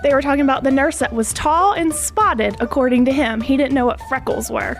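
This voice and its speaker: Female nurse, high-pitched voice, light anecdotal telling, quiet music behind. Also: brisk.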